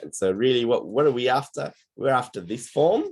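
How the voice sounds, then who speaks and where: Hoarse male maths teacher explaining. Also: mid-range voice, expressive, fairly quick, a little mellow. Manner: patient step-by-step explaining. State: losing his voice, hoarse. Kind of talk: online class lesson.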